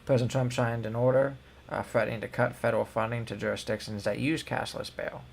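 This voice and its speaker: A man's voice: mid-range voice, steady tone, fairly quick, gravelly, slight background noise. A young man co-hosting a news show, reading headlines and then giving loose, filler-heavy opinions. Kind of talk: casual news commentary.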